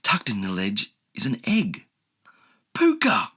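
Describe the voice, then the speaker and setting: A man narrating a children's audiobook in a gentle, expressive storytelling manner. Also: on the low side, normal speed, husky. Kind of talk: children's audiobook read-aloud.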